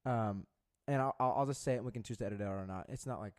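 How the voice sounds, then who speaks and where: A man's voice: on the low side, very fast. Young guy on a podcast, rambly, swears a lot, keeps restarting his sentences. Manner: profane, rambling storyteller with many restarts. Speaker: podcast co-host. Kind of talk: casual chat.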